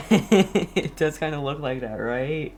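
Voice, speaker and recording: Slow-paced streamer chatting over a game. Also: mid-range voice. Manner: rambling, talks to self and viewers. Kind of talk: casual chatty gameplay commentary.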